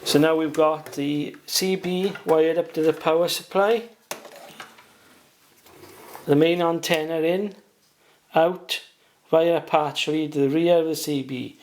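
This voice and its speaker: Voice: medium pitch, steady tone, slow, pauses often, darkish tone, slight background noise. A hobbyist presenter giving a casual beginner's how-to, talking plainly and without hurry through each step while working.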